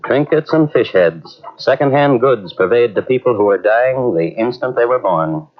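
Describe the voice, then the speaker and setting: A man's voice: mid-range voice, animated, normal speed, slight background noise. A man giving ornate, sardonic scripted narration for an old radio drama. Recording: muffled old radio recording.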